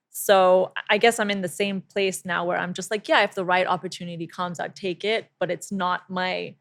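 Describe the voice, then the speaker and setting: A young adult woman hosting a casual interview podcast, chatty and quick with asides and laughs. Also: medium pitch, creaky, a bit bright. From mixed heritage, lived in Singapore and the US. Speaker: podcast host.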